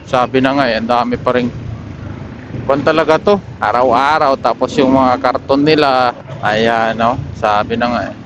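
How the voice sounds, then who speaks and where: Man vlogging over clear road noise. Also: mid-range voice, fairly even intonation, moderate pace. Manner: unscripted running commentary. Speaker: motorcycle vlogger. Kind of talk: casual vlog chat while riding. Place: outdoors on a motorcycle in city streets. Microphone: helmet intercom microphone. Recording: helmet mic with clear traffic noise. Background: vehicles and outdoor street noise.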